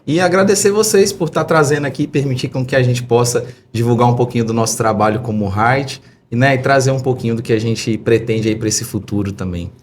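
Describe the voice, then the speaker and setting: A man's voice: medium pitch, quick pace, hardly any pauses, slight background noise. A man of about forty with a Brazilian accent from Minas Gerais, telling stories casually and effusively as an interview guest. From Belo Horizonte, Brazil. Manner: effusive, anecdotal, frequent tag questions. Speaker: podcast guest, tech entrepreneur.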